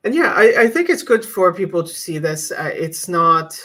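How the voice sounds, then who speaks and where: A woman's voice: fairly low pitch, a bit bright. A woman whose first language is French, chatting casually in a vlog and giving candid, practical advice with frequent restarts. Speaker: YouTuber teaching cloud infrastructure.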